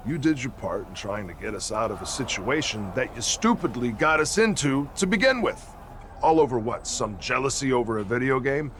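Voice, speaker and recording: A man's voice: mid-range voice, fairly bright tone, slight background noise. A man playing a stern, sarcastic wrestling team leader in a video game cutscene, scolding and commanding. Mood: scornful.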